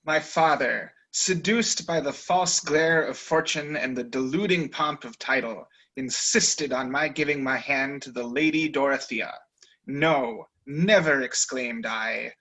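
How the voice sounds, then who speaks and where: A man's voice: mid-range voice, measured pace, sharp tone, rough recording. A man reading a proud, defiant young man. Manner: grandly defiant. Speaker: reader in a dramatic reading.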